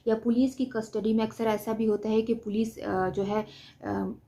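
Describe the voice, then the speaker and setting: A woman's voice: a little high, little pitch movement, normal speed, creaky, mellow. A woman with a Pakistani accent giving an explanatory law lecture, didactic, often posing questions and answering them herself. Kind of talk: explanatory lecture mixing Hindi-Urdu with English legal terms.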